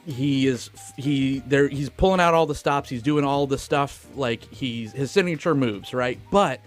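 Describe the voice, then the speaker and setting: A man's voice: mid-range voice, a little slow, soft background music. A man co-hosting a podcast, chatting casually and swearing freely as he talks about films. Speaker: film podcast co-host. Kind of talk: casual film discussion, profane.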